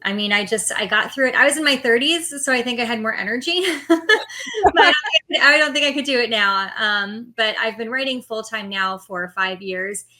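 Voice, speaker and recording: Bright, candid middle-aged woman author. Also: on the high side, expressive, moderate pace. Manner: candid, laughs easily. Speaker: author interview guest. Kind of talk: casual interview answers.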